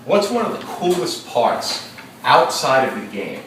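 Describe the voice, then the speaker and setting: A male teacher leading an interactive read-aloud, questioning his class in a lively, engaging way. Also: mid-range voice, a little slow. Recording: echoey classroom, distant mic, noisy.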